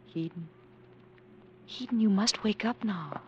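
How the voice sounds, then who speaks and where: An actress playing a devoted, anxious woman in love, tender and soft in old-time radio drama style. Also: mid-range voice, unhurried, lo-fi sound, slight background noise, natural voice. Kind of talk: 1940s-50s radio drama acting. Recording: vintage radio drama recording.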